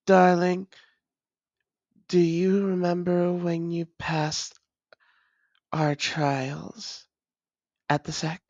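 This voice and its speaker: Woman playing a slow, low-voiced, tender female vampire lover. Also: little pitch movement, darkish tone, no echo, dull audio. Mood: fond, intimate. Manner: tender, formal old-fashioned phrasing, often drops into whispers. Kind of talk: intimate romantic audio roleplay, slow and breathy.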